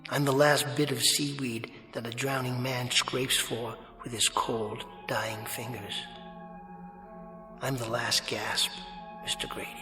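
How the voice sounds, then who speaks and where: Echoing, eloquent, mocking spirit of fate. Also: male voice, medium pitch, little pitch movement. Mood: lofty, grave. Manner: grandiose, deliberate, wordy, sardonic. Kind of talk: vintage-style radio drama acting. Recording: reverberant hall-like echo effect, faint music.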